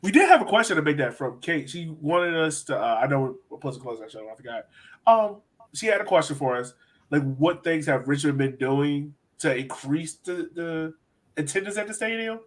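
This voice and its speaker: Male podcast host, fairly high-pitched, informal and slangy, talks straight to panel and audience. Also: lively intonation, fairly quick. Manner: informal, slangy, addresses co-hosts and viewers directly. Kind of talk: casual sports podcast hosting.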